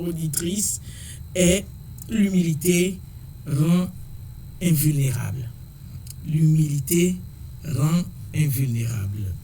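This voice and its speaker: Guy hosting a radio show, a bit high voice, chatty, laughs a lot. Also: dark tone, distant mic, a little hiss or hum. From Togo. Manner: chatty, laughs often, many fillers.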